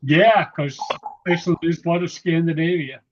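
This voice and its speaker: A man's voice: on the high side, fairly even intonation, normal speed, a little hiss or hum. A man recovering from an illness who chats casually and jokingly over a video call, stumbling and restarting his words. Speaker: club member. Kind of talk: casual chat. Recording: dull lo-fi call audio.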